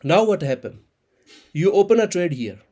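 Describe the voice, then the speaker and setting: A man in his thirties, an Urdu speaker, explaining a trading chart in halting with many restarts. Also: high-pitched, big pitch swings, warm tone. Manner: halting non-native English, frequent restarts and repeated words. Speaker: crypto trading channel host.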